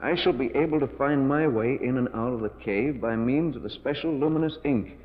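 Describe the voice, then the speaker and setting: A man's voice: mid-range voice, fairly quick, close to an everyday voice. An actor playing a tense, guilty man trapped in a cave, in emphatic 1940s radio melodrama. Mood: grim, reading aloud. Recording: muffled 1940s radio recording, reverberant.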